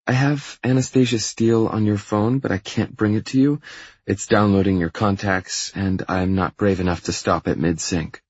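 Man acting a timid woman assistant, apologetic, businesslike, raised pitch, roomy sound, audiobook. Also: steady tone, moderate pace, a little mellow, breathy edge, dull audio. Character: timid, flustered female office assistant.

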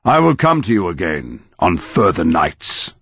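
A man voicing a booming ancient tree monster. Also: sing-song, fairly bright tone, slightly rough, no echo, full character voice. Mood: solemn. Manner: booming, slow declaiming. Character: ancient towering tree monster, male, grand and menacing.

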